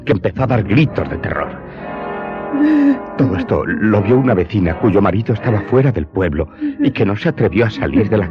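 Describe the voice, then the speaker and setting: Radio drama role: guilt-ridden manservant, very low voice, deferential and sorrowful, muffled recording with music behind. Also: male voice. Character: remorseful male servant, a steward confessing his crime. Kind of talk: Spanish-language radio drama acting. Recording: dull lo-fi sound, music behind.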